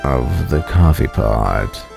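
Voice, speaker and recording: A man acting out a smug video game prosecutor who drawls very slowly in a low, creaky voice. He is cool and deliberate, savoring each threat over faint music. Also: expressive, heavily acted. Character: smug coffee-obsessed male prosecutor, slow and menacing. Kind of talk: dramatic video game dialogue reading.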